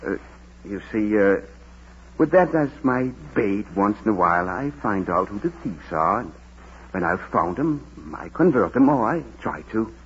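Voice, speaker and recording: An actor playing a kindly parish priest, thoughtful and measured, in a Golden Age radio drama. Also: male voice, fairly low pitch, sing-song, a little hiss or hum. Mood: gently persuasive. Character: gentle, wise, patient male parish priest. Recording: dull old radio drama recording.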